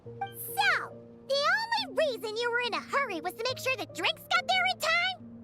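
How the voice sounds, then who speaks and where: A woman voicing a tiny, cheeky, childlike fairy companion in a video game, squeaky and blunt. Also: very animated, moderate pace, loud music behind the voice. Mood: skeptical. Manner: blunt, third-person self-reference.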